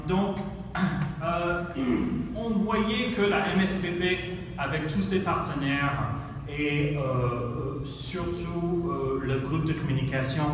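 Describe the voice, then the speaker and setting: A man whose first language is English gives a conference slide presentation as a country director, halting often with fillers while he searches for words. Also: on the high side. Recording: echoey hall from far off, noisy.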